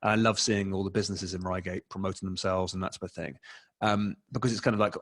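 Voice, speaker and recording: A man's voice: low voice, steady tone, fast, fairly bright tone. Rambling English man on a video call. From England. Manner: rambling, many fillers and restarts. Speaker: guest, advertising expert. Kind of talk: casual interview answer. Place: small room at home, indoors. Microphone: desk mic, video call.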